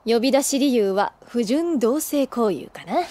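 A woman's voice: fairly high pitch, lively intonation, unhurried, mellow, no echo. An actress playing an easygoing, playful older schoolgirl with a casual, teasing way of talking in an anime audio drama.

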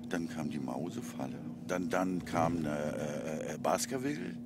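Very deep-voiced Berlin man, halting. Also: animated. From Berlin, Germany. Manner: halting, many fillers and restarts, soft trailing asides. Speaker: stage actor. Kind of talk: interview answers. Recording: loud background noise.

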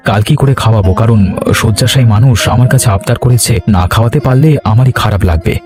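Man playing a fast-talking, good-natured cartoon villager. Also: medium pitch, over music, close to an everyday voice. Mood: explaining. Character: dutiful, good-natured village nephew and husband. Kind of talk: Bengali cartoon voice acting.

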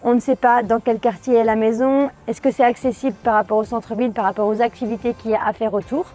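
A woman's voice: on the high side, steady tone, quick pace, mellow. Upbeat woman explaining to camera. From France. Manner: friendly, explanatory, talks to viewers directly. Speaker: family travel vlogger. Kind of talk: casual chat to camera. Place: outdoors in a grassy backyard. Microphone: medium shot from a camera on a tripod.

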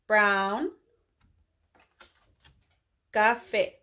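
A young woman preschool teacher addressing little children in a deliberate, clearly enunciated way, often posing questions. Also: mid-range voice, bright, forceful tone. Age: young adult. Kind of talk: children's lesson, addressing kids, English with some Spanish words.

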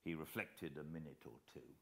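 A middle-aged British man giving a measured, expressive dramatic reading of a story as an audiobook narrator. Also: rumbling low, vocal fry, husky. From Britain. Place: living room armchair beside a fireplace.

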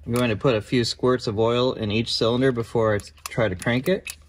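A man in his thirties hosting a car restoration video, calmly and methodically explaining his work in a large indoor garage. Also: medium pitch, fairly quick, a little hiss or hum. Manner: calm, methodical, matter-of-fact. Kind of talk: explanatory workshop walkthrough.

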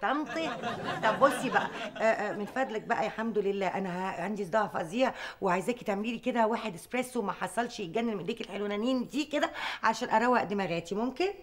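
A woman's voice: mid-range voice, lively intonation, rapid-fire, lightly acted. A woman playing a pretentious Egyptian aunt who drops French words into her talk. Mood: snooty. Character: affected snobbish aunt sprinkling French.

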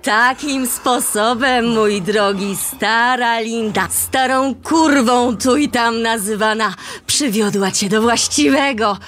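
Theatrical old woman of pleasure, worldly, florid, slow delivery, radio play, music behind. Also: a little high, a bit bright. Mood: sly, grandiose. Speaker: actress in an audio drama.

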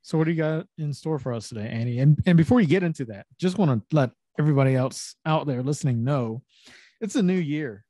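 A man's voice: on the high side, expressive, fast talker, dark tone, dry recording. A man co-hosting a casual podcast over a video call, joking and teasing his friends and swearing freely. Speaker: podcast co-host. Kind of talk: casual chat. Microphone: video call with desk microphone.

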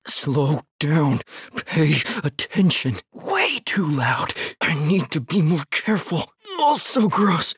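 A young man struggles to survive in a horror video game. His voice is very rough and breathy, with urgent, tense delivery. He sounds frightened but set on getting out, in a dry, close recording. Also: a little high, no echo, exaggerated voice. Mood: pained, worried, then uneasy, resolute. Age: young adult. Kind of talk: dramatic game voice lines.

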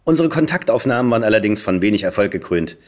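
Animated man reading satire aloud. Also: on the low side, fast talker. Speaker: podcast guest, voice actor. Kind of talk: expressive reading aloud of a satirical first-person story.

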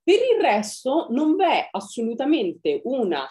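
A woman in her forties giving an academic talk over a video call from a book-lined study, deliberate and with frequent hesitation fillers. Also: high voice, huge pitch swings, warm tone. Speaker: law lecturer. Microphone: webcam on video call.

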